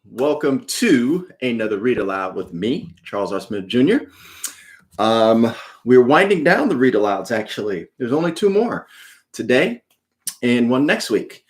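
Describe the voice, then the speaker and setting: A man's voice: medium pitch, very animated, unhurried. A man, a children's author, speaking to an online audience in a live read-aloud in a friendly, direct way. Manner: friendly, chatty, addressing viewers directly.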